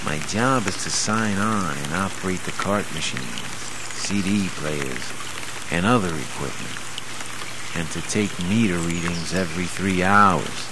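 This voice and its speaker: A man working as a radio announcer, telling a scripted first-person story in a theatrical, deliberate way. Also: deep voice, noisy background. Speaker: radio board operator and announcer. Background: music.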